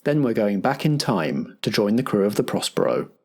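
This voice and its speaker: A man's voice: fairly low pitch, big pitch swings, moderate pace, a little mellow. A man hosting a podcast as its compere, reading scripted announcements with theatrical phrasing. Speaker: podcast host and game master.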